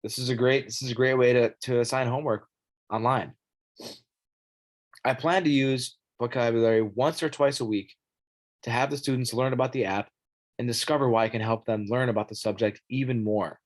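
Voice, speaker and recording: A young man, a student teacher, presenting an app for the classroom in a halting way, his voice scratchy as he clears his throat and coughs. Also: mid-range voice, steady tone. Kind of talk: informal classroom tech presentation.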